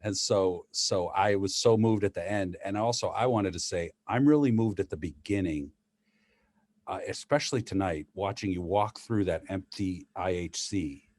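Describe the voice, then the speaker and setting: A man's voice: low-pitched, moderate pace, darkish tone. A man, an actor, speaking effusively and casually in a discussion over a video call. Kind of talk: casual post-show discussion. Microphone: laptop video call.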